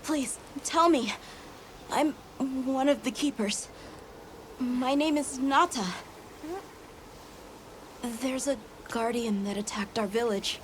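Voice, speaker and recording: A woman voicing an earnest, determined young boy in a game cutscene, pleading and halting as he speaks. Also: sits high, a little hiss or hum. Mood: pleading, troubled. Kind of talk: dramatic video game cutscene acting. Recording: music behind the voice.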